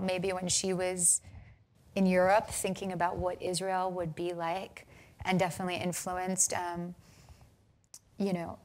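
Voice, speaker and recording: A young American woman answering interview questions thoughtfully, with many pauses, fillers and restarts. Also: fairly low pitch. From United States. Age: young adult. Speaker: film director, interview guest.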